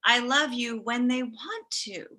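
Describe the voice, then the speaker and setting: A woman's voice: on the high side, a little slow, pushed and bright. A woman playing a placating middle-aged mother of a grown son in a comedy table read. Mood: placating, exasperated.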